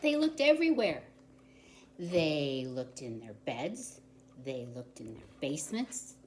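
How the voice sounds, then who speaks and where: Slow-speaking woman at children's story time. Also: deep voice, very animated, darkish tone. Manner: simple, explanatory, addressing small children with questions. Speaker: volunteer story reader for young children. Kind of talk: children's story time, read-aloud with chatty asides. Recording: roomy echo with audible background noise.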